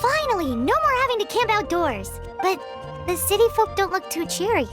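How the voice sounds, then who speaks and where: Squeaky, extremely high little fairy companion. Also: female voice, normal speed. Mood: curious. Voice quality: squeaky, childlike. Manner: blunt, excitable, nosy. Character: tiny, cheeky, childlike female fairy companion. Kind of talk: video game voice acting. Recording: music behind the voice.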